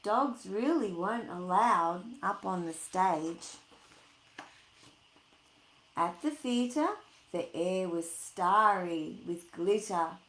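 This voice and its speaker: A woman reading a picture book aloud to children, chatting informally and putting expressive, singsong emphasis on the words. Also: fairly low pitch, very slow, pauses often. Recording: echoey room.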